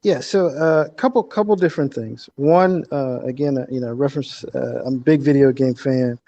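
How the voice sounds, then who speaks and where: A man's voice: medium pitch, moderate pace, dark tone. A man answering as an author guest on a podcast, explaining conversationally and repeating words as he builds each point. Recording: slightly dull, lo-fi audio.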